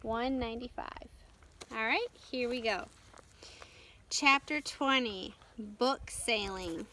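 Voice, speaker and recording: A woman reading a storybook aloud as narrator, carefully and haltingly, with stumbles and self-corrections. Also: a little high, pushed and bright, slight background noise, low-quality recording. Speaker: storytime reader.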